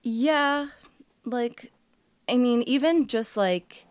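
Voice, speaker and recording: Smooth young woman, casual and relaxed. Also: fairly high pitch, extremely slow, dry recording. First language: Portuguese. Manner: relaxed, many "like" fillers. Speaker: guest artist. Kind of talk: casual interview chat.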